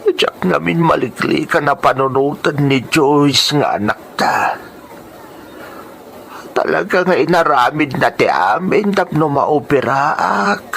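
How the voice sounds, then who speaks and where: An actor playing a weak old grandfather after surgery in a radio drama, speaking in a halting, breathy, labored way. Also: a little high, animated, fairly bright tone, full character voice. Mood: weary, weak. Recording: faint background noise.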